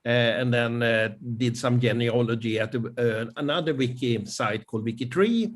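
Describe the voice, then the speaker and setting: An older man with a Swedish accent giving an informal talk over a video call, pausing often with fillers. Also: medium pitch. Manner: hesitant, many fillers. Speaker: conference presenter. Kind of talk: informal presentation.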